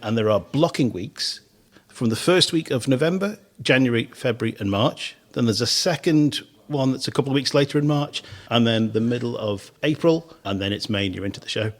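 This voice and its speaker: A man's voice: medium pitch, a little fast. A man hosting a casual theatre podcast interview, chatty and encouraging, laughing easily. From Isle of Man. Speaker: podcast host.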